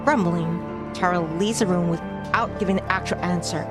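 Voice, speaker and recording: A woman reading a visual novel aloud as a streamer, narrating in measured phrases with casual commentary. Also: fairly low pitch. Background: music.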